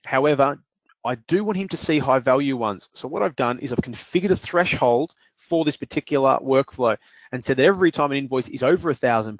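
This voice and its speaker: Man walking through software on a dull, dry mic. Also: mid-range voice, dry recording. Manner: explanatory, steady, with fillers. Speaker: software demonstrator. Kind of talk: screen-recorded product walkthrough.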